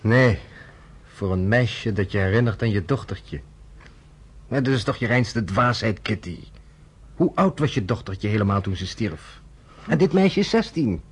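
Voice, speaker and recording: Mark: a sardonic journalist. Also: male voice, on the low side, animated, unhurried, slightly rough. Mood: teasing. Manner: teasing and probing. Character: sardonic, probing grown male journalist. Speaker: actor in a radio drama. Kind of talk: radio drama acting.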